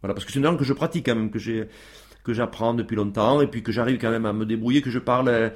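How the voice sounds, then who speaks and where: A man's voice: medium pitch, very fast. Hesitant man chatting on radio. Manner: hesitant, many fillers and restarts. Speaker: guest on a radio show. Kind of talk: casual radio interview.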